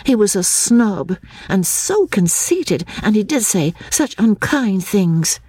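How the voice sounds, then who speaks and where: A woman playing an earnest, gentle young Englishwoman in an audiobook, eager to please. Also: mid-range voice, big pitch swings, takes it slowly, breathy edge, no echo, voice pushed up, exaggerated voice.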